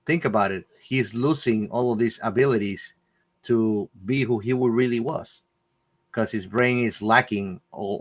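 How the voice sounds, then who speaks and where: A man's voice: lowish voice, fairly bright tone. A man on a discussion podcast panel, talking casually and searching for his words as he thinks aloud.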